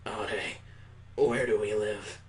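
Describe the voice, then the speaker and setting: A man voicing a shy, naive girl student who speaks in a halting, subdued way. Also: lowish voice, flat delivery, reverberant, voice pushed down. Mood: naive wonder.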